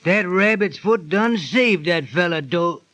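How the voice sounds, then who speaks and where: An actor playing a gullible, superstitious comic sidekick with an exaggerated caricature dialect, in 1930s radio comedy style. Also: male voice, high voice, lively intonation, a little slow, a bit bright, no room sound. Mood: stubborn, hesitant.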